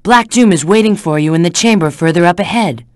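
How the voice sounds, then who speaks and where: A woman's voice: fairly low pitch, fairly quick, a little mellow, dry recording, clean studio sound, natural voice. A woman voicing a sly, flirtatious, confident treasure-hunting agent in a video game, giving hints and callouts with teasing assurance. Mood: earnest, urgent.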